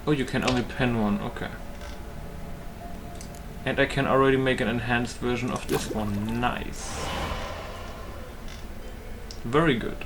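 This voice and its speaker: A man's voice: medium pitch, steady tone, unhurried, long pauses, poor audio. A man giving casual gameplay commentary, thinking aloud as he hosts a gaming video. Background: game music.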